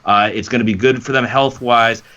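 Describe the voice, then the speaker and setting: Bright, forceful male sports host, slightly dull recording. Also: medium pitch, steady tone, moderate pace. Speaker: sports talk show co-host and broadcaster. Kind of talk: sports commentary talk.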